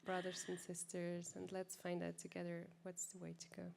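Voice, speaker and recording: Flat, gravelly-voiced woman interpreting. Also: fairly low pitch, normal speed, slight background noise. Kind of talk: live interpretation.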